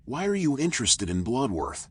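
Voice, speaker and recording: An actor playing a world-weary raccoon detective in a noir video game, terse, dry and guarded. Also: male voice, mid-range voice, big pitch swings, normal speed, breathy edge. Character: male raccoon private eye, world-weary, sardonic, guarded.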